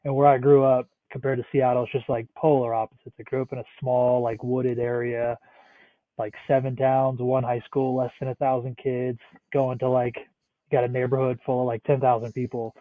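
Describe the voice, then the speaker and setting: A man's voice: medium pitch, little pitch movement, normal speed, no room sound. A man of about thirty from the Northeastern United States, chatting casually as a podcast guest, rambling with lots of "like" and some swearing. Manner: rambling, many "like" fillers, swears freely. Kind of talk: casual interview chat. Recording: tinny phone-like remote line, dry.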